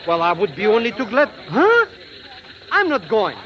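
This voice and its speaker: An actor playing a nervous, cowardly, money-minded sidekick in an old radio drama, stammering and flustered with oddly formal phrasing. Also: male voice, high voice, wildly swooping pitch, moderate pace. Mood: anxious, cowardly. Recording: muffled, noisy vintage radio recording.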